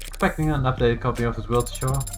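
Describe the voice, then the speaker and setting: A man's voice: medium pitch, steady tone, quick pace, faint music behind, natural voice. A man voicing a cynical, street-smart female defence lawyer in a video game, with a dry, blunt, needling delivery. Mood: dry, impatient.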